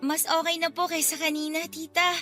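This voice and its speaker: A woman's voice: extremely high pitch, little pitch movement, a little fast, sharp tone, faint music behind. A woman playing a sweet, polite, cheerful little schoolgirl niece in a radio drama, with a childlike manner. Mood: cheerful, protesting.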